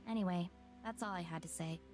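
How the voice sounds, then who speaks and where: Actress doing a reserved but determined young woman from a visual novel, lowish voice, game music behind her. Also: fairly quick, close to an everyday voice. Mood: earnest, determined. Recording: quiet game audio under music.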